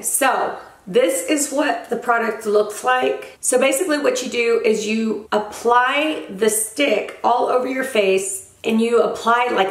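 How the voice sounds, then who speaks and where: Young woman, hoarse scratchy voice, enthusiastic beauty vlogger, fairly high pitch, echoey room. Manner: chatty, enthusiastic, frequent "like" and "um". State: scratchy hoarse voice after a cold. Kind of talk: casual product review.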